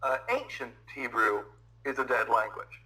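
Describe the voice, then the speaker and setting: Guy in a chat debate, forceful bright voice, keeps correcting himself. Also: medium pitch, big pitch swings, normal speed, low-quality recording. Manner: rambling, self-correcting, apologetic. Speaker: debate participant answering questions. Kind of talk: casual online discussion.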